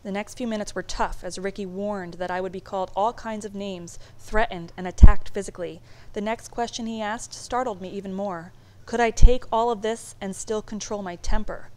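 Expressive woman reading a children's book aloud. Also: medium pitch, moderate pace, low-quality recording. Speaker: storybook reader.

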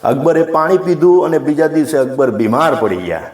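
A man's voice: a little high, lively intonation, fairly quick, darkish tone. Echoey Gujarati man telling moral stories. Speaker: storyteller and channel host. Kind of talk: informal moral storytelling to viewers. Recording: echoey, roomy sound.

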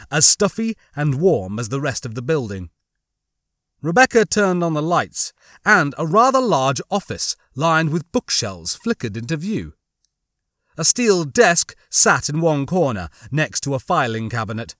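A man narrating a suspense novel as an audiobook, with measured, dramatic storytelling. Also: fairly high pitch, fairly bright tone, no echo, studio quality. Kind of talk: scripted dramatic fiction narration.

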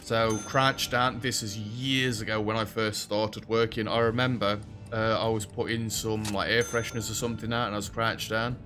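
Chatty British man with a deep voice. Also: level tone, normal speed, hardly any pauses, bright, forceful tone, soft background music. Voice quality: rather deep voice. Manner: chatty, self-deprecating, talks to game characters. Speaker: gaming video host. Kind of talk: casual let's-play commentary. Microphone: headset.

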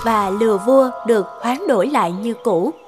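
A woman giving scripted storybook narration for an animated tale. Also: on the high side, moderate pace, music in the background.

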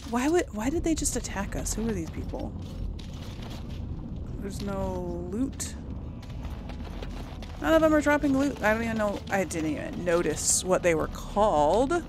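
A woman's voice: mid-range voice, big pitch swings, unhurried, long pauses, background noise. A woman giving casual let's play commentary, thinking aloud and musing to herself as she plays. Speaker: gaming YouTuber.

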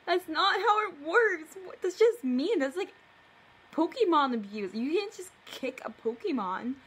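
A woman's voice: high-pitched, lively intonation, measured pace, a bit bright. A young woman casually reading her own story aloud on camera, breaking off for playful, mock-indignant asides. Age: young adult. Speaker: video creator. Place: living room at home, indoors.

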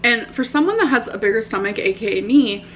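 A woman's voice: on the high side, lively intonation, a little fast, highs cut off, slight background noise. Young American woman chatting casually. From United States. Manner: informal, slangy, frequent "like". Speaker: vlogger. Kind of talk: casual chat to camera. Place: indoor living room at home.

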